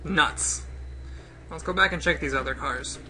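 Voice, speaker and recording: A man with a high, very bright voice gives casual commentary on a game play-through. He explains things step by step as he goes, with faint noise in the background. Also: unhurried.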